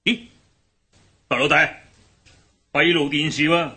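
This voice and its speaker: An actor with a Hong Kong Cantonese accent playing an eager gang underling in a radio drama, alert and quick to report. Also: male voice, fairly high pitch, fairly even intonation, bright, forceful tone, breathy edge, heavily acted.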